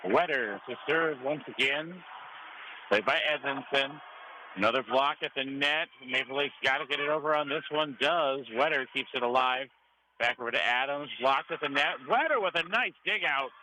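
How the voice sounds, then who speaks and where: A man's voice: mid-range voice, normal speed, pushed and bright. An American man giving live play-by-play radio commentary on a volleyball match. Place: indoor school gym during a match. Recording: noisy gym ambience behind commentary.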